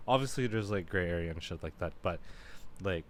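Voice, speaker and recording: A man's voice: sits low, fairly quick, a little hiss or hum. A young man co-hosting a casual podcast, talking in a laid-back, slangy way and swearing freely. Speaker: podcast co-host. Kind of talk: casual chat.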